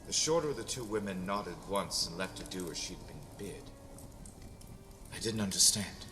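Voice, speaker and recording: A man's voice: sits low, expressive, moderate pace, bright, forceful tone. A man giving a dramatic first-person narration of a story. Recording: long reverb over background music. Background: music.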